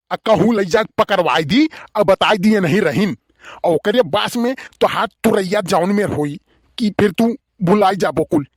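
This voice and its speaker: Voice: mid-range voice, no echo, studio quality. A performer voicing a nosy, gullible village bachelor in a comedy cartoon, drawling inquisitively in an Awadhi accent. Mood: indignant.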